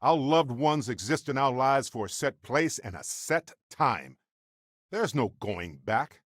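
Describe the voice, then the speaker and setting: An actor playing a wry, affectionate, talkative space explorer companion in a video game, with a playful, expressive, conversational delivery. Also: male voice, mid-range voice, unhurried, no echo, studio quality. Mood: earnest.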